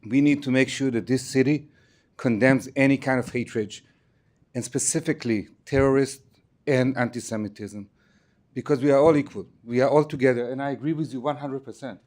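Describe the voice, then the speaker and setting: Earnest man, halting speech. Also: medium pitch, darkish tone. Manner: earnest, halting, non-native phrasing. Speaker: resident public commenter.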